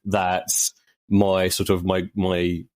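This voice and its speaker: A man's voice: low voice, monotone, slow, a little mellow. A man, a horror writer, talking reflectively in an interview with thoughtful, hedging phrasing.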